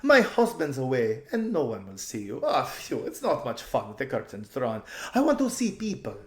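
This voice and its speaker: A man voicing a flighty, self-absorbed young noblewoman, gushing and drawling in a high, giggly voice for a storybook reading. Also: wildly swooping pitch, normal speed, echoey room. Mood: lazy, pouting. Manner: gushing, drawling, calls everyone darling.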